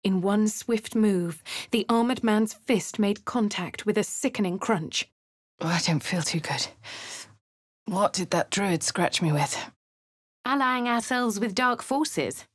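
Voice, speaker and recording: A woman's voice: medium pitch, slow pace, no room sound, clean studio sound. An actress with a posh English accent voicing a wry female fantasy adventurer in a video game, narrating and speaking in character. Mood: measured, wry.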